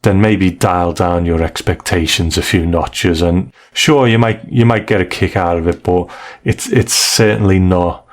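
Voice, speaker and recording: A man with a fairly low voice talks as a podcast host. His delivery is conversational and measured, politely softening any criticism as he reviews. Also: steady tone.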